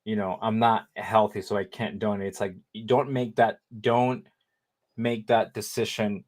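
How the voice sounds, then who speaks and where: Guest, male, 30s, lower voice, loose conversational delivery with fillers and self-corrections. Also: little pitch movement, unhurried, darkish tone. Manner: rambling, lots of fillers and restarts. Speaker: interview guest, living kidney donor. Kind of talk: casual conversational interview.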